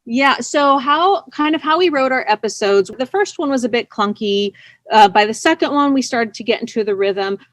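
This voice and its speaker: A woman being interviewed, telling stories casually in a chatty, expressive and self-effacing way. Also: on the high side, normal speed, dry recording. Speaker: interview guest, audio drama writer. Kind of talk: casual conversational storytelling.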